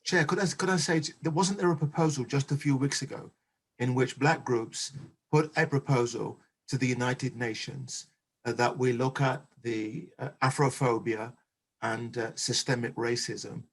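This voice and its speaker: A male panelist with a slightly husky, rough-edged voice at an ordinary pitch. He speaks in a measured, oratorical manner, leaning on key phrases with emphasis. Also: steady tone. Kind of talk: impassioned panel discussion.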